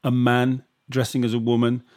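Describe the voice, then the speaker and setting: Man, interviewer, halting phrasing, conversational, medium pitch. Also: completely flat delivery, darkish tone.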